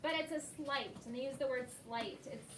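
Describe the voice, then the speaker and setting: Female elementary teacher, high voice, questioning and encouraging, recorded at a distance in a classroom. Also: normal speed. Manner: questioning, encouraging, checks for understanding. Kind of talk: classroom lesson to young children. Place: indoor classroom. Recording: echoey noisy classroom, distant mic.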